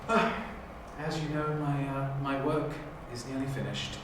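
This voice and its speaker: A man's voice: medium pitch, music in the background, lightly acted. Man as grave old father, very echoey. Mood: grave, secretive. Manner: halting, grave, portentous. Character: weary, secretive old alien Time Lord father. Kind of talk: improvised audio drama audition. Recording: very echoey room, distant mic, clear noise.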